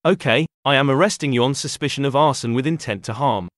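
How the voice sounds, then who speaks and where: A man's voice: mid-range voice, fast, no room sound, studio quality, lightly acted. A man playing a formal, matter-of-fact police officer in an audio drama. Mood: official.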